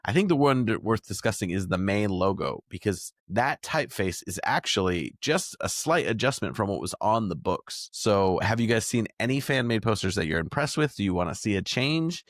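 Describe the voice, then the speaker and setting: A man's voice: lowish voice, expressive, normal speed, few pauses, dry recording, studio quality. An American man hosting a podcast, talking through topics in a casual discussion. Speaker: podcast host.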